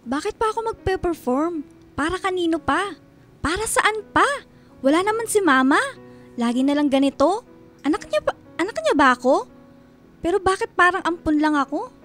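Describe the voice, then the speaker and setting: Aggrieved schoolgirl daughter, radio drama, very high voice, tearful protest. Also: animated, normal speed, long pauses, a bit bright, faint music behind. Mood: hurt, angry. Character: hurt, resentful schoolgirl daughter. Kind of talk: Filipino radio drama acting.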